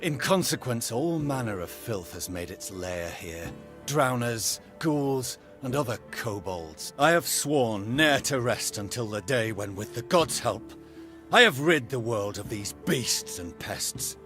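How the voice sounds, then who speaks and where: Pompous, animated knight-errant, music behind. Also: male voice, mid-range voice, measured pace. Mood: solemn, zealous. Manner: pompous, grandiloquent, declamatory. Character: zealous, chivalrous knight-errant, pious monster hunter. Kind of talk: theatrical game dialogue in archaic phrasing. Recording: music under the voice.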